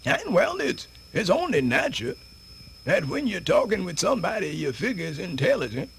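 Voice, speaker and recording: An actor playing a spirited, folksy elderly man with a Southern US accent, rambling and stammering through a radio theatre monologue in a raspy voice. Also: very animated, moderate pace, a bit bright, a little hiss or hum, exaggerated voice. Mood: winded, rambling. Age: older. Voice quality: raspy, creaky, high-pitched.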